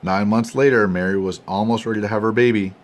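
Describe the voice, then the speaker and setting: An older man, a grandfather, reads a storybook aloud to his family expressively, with dramatic pauses and emphasis. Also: lowish voice, fairly even intonation. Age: 60s or older. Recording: noisy amateur home recording.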